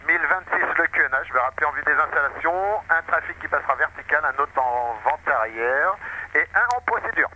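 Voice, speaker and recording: A male air traffic controller speaks quickly in a slightly high voice. He gives brisk, courteous procedural instructions through an aviation radio, with clear static and noise around the words. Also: lively intonation, bright, forceful tone. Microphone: radio transmission. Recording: noisy crackly aviation radio.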